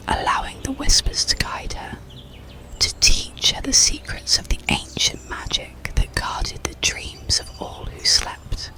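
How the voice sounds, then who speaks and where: A narrator reading an ASMR bedtime story in a calm, breathy, soothing whisper, unhurried throughout. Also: rumbling low. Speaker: audiobook narrator.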